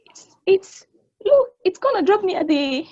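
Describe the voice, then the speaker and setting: A woman's voice: very high-pitched, sing-song, unhurried, darkish tone, dull audio, exaggerated voice. A woman playing a wry, bossy space probe in a stage play table read. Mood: panicked.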